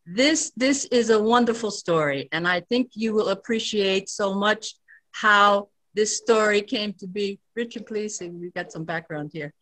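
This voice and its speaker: Older American woman academic guest, unhurried storyteller, mid-pitched voice. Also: poor audio. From United States. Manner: measured, warm storytelling with frequent fillers. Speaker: anthropologist guest. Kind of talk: conversational interview.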